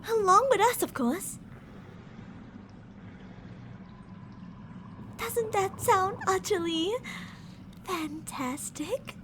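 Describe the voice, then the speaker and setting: A very high female voice put on by a woman plays an unhinged mad scientist in an ASMR roleplay. She is cocky, blunt and theatrical, with some noise in the background. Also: lively intonation, slow pace, fairly bright tone, heavily acted. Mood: gleefully theatrical. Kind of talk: ASMR roleplay audio drama.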